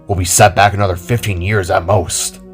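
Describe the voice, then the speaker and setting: A man doing a scarred, steady older brother. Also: low-pitched, moderate pace, faint music behind, close to an everyday voice. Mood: earnest.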